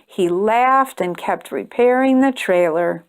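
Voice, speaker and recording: A woman reading a children's picture book aloud with expressive, deliberate storytelling and emphatic phrases. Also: mid-range voice. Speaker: storytime reader and host.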